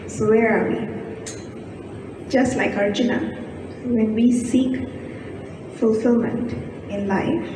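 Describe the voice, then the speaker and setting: Soft-spoken woman, slightly high voice, scripture commentator, heard from a distance in a reverberant hall with steady room noise. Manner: measured, explanatory, poses questions then answers them. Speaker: guest speaker giving scripture commentary. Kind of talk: spiritual discourse on scripture.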